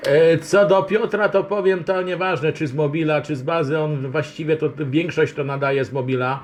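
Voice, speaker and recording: A man's voice: fairly high pitch, steady tone, normal speed. A man making casual radio contact as an amateur operator, talking in a rambling, friendly way.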